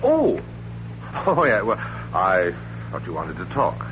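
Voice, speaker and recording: Jittery radio-play husband, eager to please, swooping intonation, noisy 1940s recording. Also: male voice, low voice, huge pitch swings, slow, muffled recording, exaggerated voice. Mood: uneasy, affectionate. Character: nervous, attentive husband, evasive and eager to please. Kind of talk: 1940s radio drama acting.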